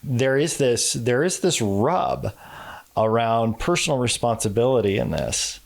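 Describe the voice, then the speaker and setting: A man hosting a podcast interview, talking in a halting way with many fillers and restarts. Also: mid-range voice, animated, creaky. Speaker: podcast host. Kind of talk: conversational interview.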